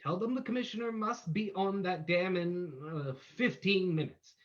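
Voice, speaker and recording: A man playing a confident, commanding gentleman sleuth in an old-time radio mystery. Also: sits high, normal speed, muffled recording, natural voice.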